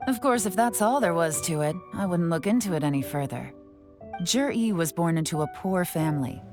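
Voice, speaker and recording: A woman's voice: fairly low pitch, expressive, music in the background, lightly acted. Woman acting a shrewd, composed game investigator. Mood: shrewd, analytical. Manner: measured, knowing, analytical. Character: shrewd, composed female investigator, secretive and teasing. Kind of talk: scripted video game dialogue.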